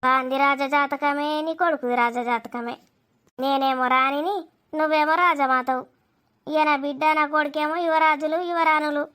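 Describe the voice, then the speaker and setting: A scolding village mother from a cartoon comedy, voiced by a woman, extremely high in pitch. She nags and needles with heavy sarcasm in a Telangana accent. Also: fairly even intonation, normal speed, sharp tone. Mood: sarcastic. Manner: nagging, sarcastic.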